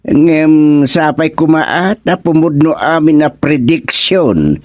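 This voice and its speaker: A man's voice: a little high, animated, takes it slowly, a little mellow. An actor playing an older, hesitant but curious village man in a comic radio serial, drawling and repeating words in an Ilocano accent. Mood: musing. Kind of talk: comedic radio serial dialogue.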